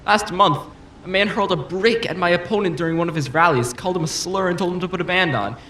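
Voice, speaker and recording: A man's voice: sits high, fast, bright, forceful tone. An actor playing a self-assured, combative politician in an audio drama, pressing his argument with polished, insistent rhetoric. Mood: accusatory. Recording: roomy echo, faint background noise.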